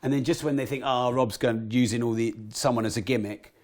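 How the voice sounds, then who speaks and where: Man, croaky voice, animated podcast storyteller, casual swearing, mid-pitched. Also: brisk. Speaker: guest entrepreneur.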